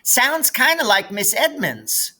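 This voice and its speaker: A whiny little sister from a storybook, acted out by a man, pitched well up from his own voice. She is prissy and complaining, with a bright, pointed edge. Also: sing-song, slow, heavily acted.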